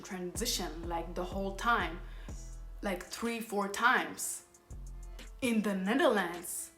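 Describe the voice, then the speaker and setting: A woman's voice: medium pitch, a bit bright. Exasperated young woman telling a story. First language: Somali. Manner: rambling, exasperated retelling with self-corrections. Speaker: vlogger. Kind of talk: casual storytime. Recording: roomy echo with faint background music.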